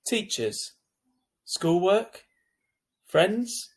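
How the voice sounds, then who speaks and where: A man voicing a caring, patient older sibling who speaks softly and coaxingly in a children's novel read aloud. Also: sits high, very slow, voice pushed up, full character voice. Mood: gentle concern. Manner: soft, calm, coaxing.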